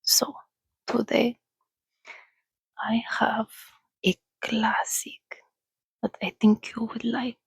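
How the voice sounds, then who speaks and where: Woman playing a whispering, breathy ancient vampire. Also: a little high, level tone, no room sound, exaggerated voice. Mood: soothing, inviting. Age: over a thousand years old, as played. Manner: slow, coaxing, conversational with pauses. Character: ancient female vampire host, soothing, gently teasing. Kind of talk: ASMR roleplay, soft-spoken.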